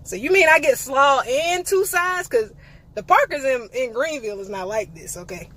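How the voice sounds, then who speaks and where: A woman's voice: high-pitched, lively intonation, normal speed, a bit bright. A young woman, telling a playful, sassy story in a casual vlog. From eastern North Carolina. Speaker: vlogger.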